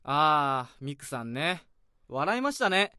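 A man's voice: fairly high pitch, big pitch swings, slow, bright, forceful tone, clear, resonant tone, dry recording, clean studio sound, exaggerated voice. An actor playing a brash, complaining young male office worker in his twenties in a radio drama.

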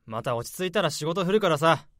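A man's voice: on the high side, expressive, a little fast, fairly bright tone, no room sound, studio quality. An actor playing a smug, well-dressed male office colleague in a radio drama, talking in a breezy, patronizing way.

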